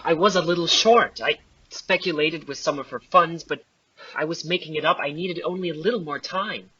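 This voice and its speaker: A man's voice: a little high, moderate pace, bright, forceful tone, husky, lo-fi sound. A man playing a defensive, evasive trustee in an audio play, speaking in a clipped, indignant way. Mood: pleading. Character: defensive, evasive male estate trustee under suspicion. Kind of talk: amateur audio play acting.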